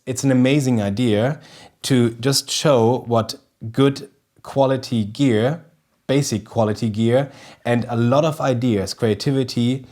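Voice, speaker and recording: A man's voice: medium pitch, a little mellow. German-accented man hosting a photo tutorial. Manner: eager, restarts often, searches for English words. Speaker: professional photographer presenting a tutorial. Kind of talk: casual spoken tutorial to camera. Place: indoor studio kitchen set with festive decorations.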